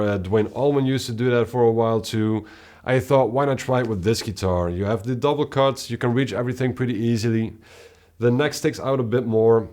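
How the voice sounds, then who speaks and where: Brief: deep-voiced male guitarist, mid-pitched, calm talk to camera, steady explainer. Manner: steady, explanatory, with occasional filler sounds. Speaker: guitarist and channel host. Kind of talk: casual gear talk to camera.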